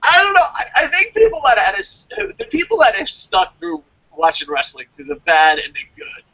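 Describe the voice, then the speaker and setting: A man's voice: extremely high pitch, huge pitch swings, normal speed, bright, forceful tone, slightly rough, muffled recording. A man chatting casually as a review show host, opinionated and emphatic, swearing freely and rambling as he goes.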